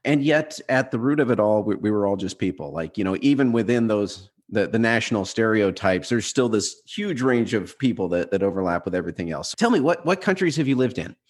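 A man's voice: fairly low pitch, lively intonation, a little fast, few pauses. A man hosting an interview podcast over a video call, asking loose, friendly questions that he often restarts. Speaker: podcast host.

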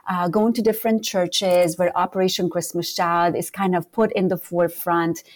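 A woman from Romania, a guest speaker for a ministry, talking in a measured, grateful way. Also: fairly low pitch, little pitch movement. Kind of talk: interview conversation.

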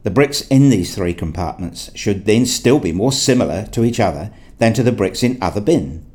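A man's voice: fairly low pitch, animated, normal speed, a little mellow, slightly rough. A wry, playful husband and father played by a man tells his story in a radio play. He sounds like a nerdy everyday dad, slipping between first-person narration and family dialogue. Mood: musing.

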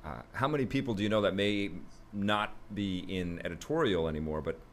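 A man's voice: sits low, a little hiss or hum. A man hosting a casual podcast, easygoing and agreeable, leading the talk and asking questions. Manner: easygoing, agreeable, quick to laugh along. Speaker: podcast host.

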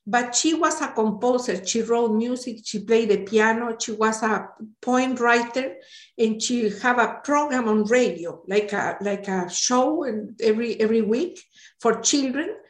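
A woman's voice: on the high side, measured pace, roomy sound. A woman in her late sixties from Chile, a native Spanish speaker with a Chilean accent, reminiscing as an interview guest. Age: late 60s. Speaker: interview guest, retired NGO director.

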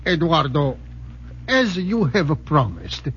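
An actor playing a respectful old Italian farmer with an Italian accent and halting, broken English in a 1940s radio drama. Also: male voice, a little high, sing-song, fairly bright tone, slight background noise, exaggerated voice. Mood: pleading, puzzled. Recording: dull vintage radio recording.

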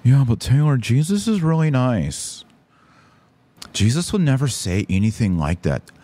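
A man acts out a fussy, whiny liberal Jesuit for a podcast parody. The voice jumps high and sounds affected, prissy and easily wounded, protesting that everyone ought to be nice. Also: very animated, measured pace, mellow. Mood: indignant, whiny.

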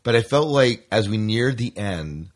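A man's voice: fairly low pitch, lively intonation, unhurried. A man hosting a podcast in casual fan chat, chatty and opinionated, often prompting his co-host.

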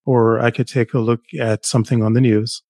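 A man's voice: mid-range voice, flat delivery, brisk, darkish tone, no room sound. Enthusiastic middle-aged man, dry sound. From Jamaica. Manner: enthusiastic storyteller, some restarts, laughs. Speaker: author and podcaster guest. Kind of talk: casual interview chat.

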